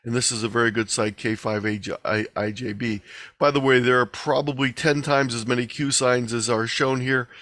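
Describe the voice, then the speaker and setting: A man presenting an instructional webinar lecture with slides, explaining in a measured, deliberate way over a video call microphone. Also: mid-range voice, fairly even intonation, a bit bright, dry recording.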